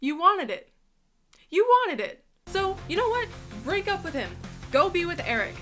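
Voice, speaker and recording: A woman's voice: extremely high pitch, sing-song, normal speed, fairly bright tone, faint music behind. A woman hosting a reaction video, speaking casually and emphatically as she gives her opinions. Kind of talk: casual reaction commentary to camera.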